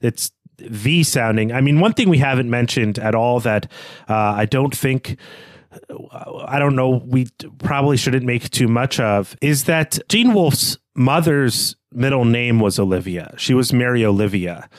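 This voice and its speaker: A man's voice: mid-range voice, a little slow, dry recording. Man in dry-sounding literary podcast chat. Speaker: podcast co-host. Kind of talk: literary discussion, casual chat.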